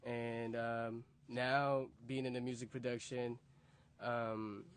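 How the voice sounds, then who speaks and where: A man's voice: medium pitch, flat delivery, slow, smooth. A man in his early twenties, a music program leader, recalling his story earnestly in an interview and repeating words as he goes. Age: 20s. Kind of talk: recorded interview recollection. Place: museum interview room.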